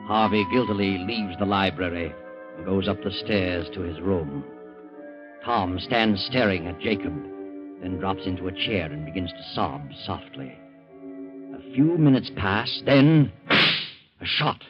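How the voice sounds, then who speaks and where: An actor as an ominous storyteller with an American accent, giving husky, dramatic scripted narration for a radio mystery. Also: male voice, low voice, animated, unhurried. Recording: muffled old radio recording with music.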